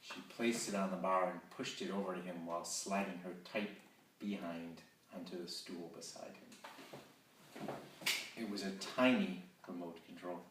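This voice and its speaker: A man reading narration from a romance novel aloud in a steady, measured way. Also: sits low. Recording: roomy, distant, faint hiss.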